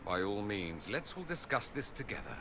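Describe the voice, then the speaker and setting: An actor playing a witty, exasperated companion with dry sarcasm, in video game banter. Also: male voice, fairly low pitch, sing-song, moderate pace. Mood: sardonic. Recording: noisy outdoor-like ambience.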